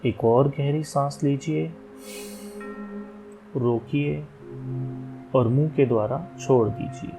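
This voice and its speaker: A man with a medium-pitched voice guides a meditation in calm, measured instructions. He leaves long, unhurried pauses between phrases. Meditation music plays clearly behind his voice. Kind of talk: guided yoga nidra meditation, slow soothing instructions.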